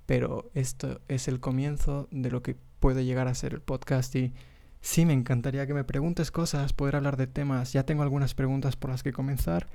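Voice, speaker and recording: A man's voice: medium pitch, level tone, normal speed, gravelly, dark tone. A young man from Mexico who speaks with a Spain Spanish accent, hosting his own podcast in a casual, hesitant monologue full of fillers and restarts, recorded on a podcast microphone. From Mexico (Monterrey). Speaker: podcast host.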